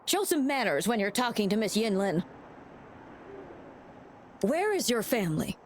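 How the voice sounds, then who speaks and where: A woman's voice: mid-range voice, very animated, measured pace, a little hiss or hum. A woman playing a bossy, scolding old woman in a video game, blustering and fiercely protective. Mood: indignant.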